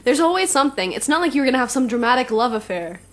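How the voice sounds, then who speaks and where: Woman playing lively, high-voiced young supervillain Scarlet. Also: very fast. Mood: playful, teasing. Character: Scarlet, young female shapeshifting supervillain, playful but conscience-stricken. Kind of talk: naturalistic audio drama acting.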